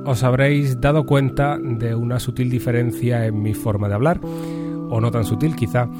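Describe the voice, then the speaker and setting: A man from Andalusia hosting a solo podcast, speaking with a neutral Castilian Spanish accent that softens his Andalusian one, in a measured, articulate way with careful diction. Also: medium pitch, fairly even intonation, over loud music. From Andalusia, Spain. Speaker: podcast host. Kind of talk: solo podcast monologue answering listener questions.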